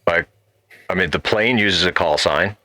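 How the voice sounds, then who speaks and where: A man co-hosting a casual panel chat, talking in a halting, hesitant way. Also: low-pitched, bright, forceful tone, dry recording. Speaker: podcast co-host.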